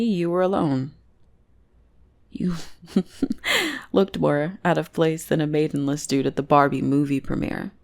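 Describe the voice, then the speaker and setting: Goth bully girl, played by a woman: exasperated, bossy, secretly sweet on the listener, heavy vocal fry, unhurried drawl, audio roleplay. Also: fairly low pitch, a little mellow. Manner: mocking, drawling, intimate teasing. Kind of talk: ASMR roleplay acting.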